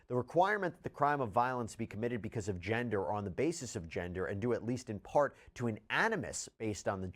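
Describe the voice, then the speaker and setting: An articulate male news host with a medium-pitched voice delivers scripted legal commentary. He stresses key words and reads formal passages clearly and deliberately.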